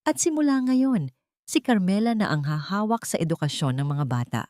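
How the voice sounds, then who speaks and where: A woman's voice: medium pitch, lively intonation, normal speed, mellow, dry recording, clean studio sound, natural voice. A woman voicing a cold, stern, disappointed rich master of the house, grave in manner, in an audiobook drama. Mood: cold, dismissive.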